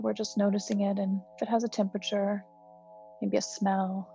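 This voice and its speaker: A woman meditation teacher guiding a healing breath meditation in a soft, slow, soothing way with gentle pauses. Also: medium pitch, gravelly. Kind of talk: guided meditation. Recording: slightly dull recording with soft music.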